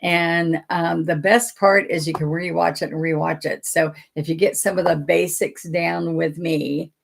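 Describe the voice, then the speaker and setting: A woman's voice: low voice, steady tone, a little slow, slight background noise. An older woman, chatting folksily as she teaches a painting lesson and greets viewers by name. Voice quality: raspy. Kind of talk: casual livestream painting lesson.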